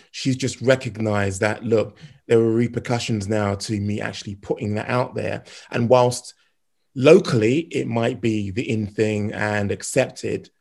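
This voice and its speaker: Man, mid-pitched voice, podcast co-host, brief replies, quick questions. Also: vocal fry, darkish tone. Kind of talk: casual sports chat.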